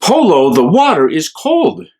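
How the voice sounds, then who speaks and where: Man voicing a concerned moose, pitched up. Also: wildly swooping pitch, a little slow, darkish tone, full character voice. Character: concerned, cautioning moose friend.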